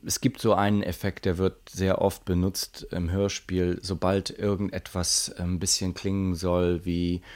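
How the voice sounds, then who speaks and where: A man's voice: sits low, level tone, a little slow, hardly any pauses, a little mellow. A man giving a conversational interview statement, explaining his work in radio drama sound.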